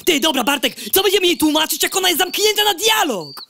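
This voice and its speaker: A man's voice: very high voice, sing-song, quick pace, background noise, exaggerated voice. A man playing a brash, bigoted male mosquito thug who rants in slang in a comic audio drama. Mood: indignant ranting. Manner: ranting, slangy, with a repeated catchphrase.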